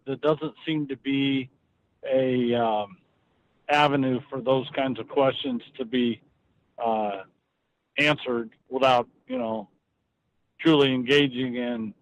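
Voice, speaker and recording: A man with a medium-pitched voice answers as a client in an unscripted phone conversation. He is measured and hesitant, working out his thoughts as he speaks. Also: no room sound, low-quality recording. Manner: measured, hesitant, thinking aloud.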